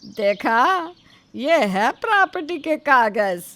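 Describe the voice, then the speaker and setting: A woman voicing a greedy, sharp-tongued middle-aged mother-in-law in a story, loud and dismissive. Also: high voice, big pitch swings, takes it slowly, no room sound, voice pushed up, full character voice. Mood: scornful.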